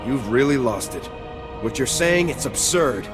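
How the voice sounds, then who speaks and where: An actor playing a cocky, defiant trooper sergeant with a blunt, dry manner in a game cutscene. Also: male voice, medium pitch, lively intonation, measured pace, music in the background, close to an everyday voice. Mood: scornful. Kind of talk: video game cutscene acting.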